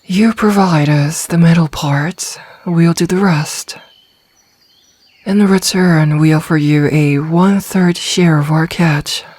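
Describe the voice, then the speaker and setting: Soft-dominant snake-woman read by a woman for an ASMR roleplay: slow, breathy, reassuring. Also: low voice. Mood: firm calm. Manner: calm, soft, reassuring, often whispered.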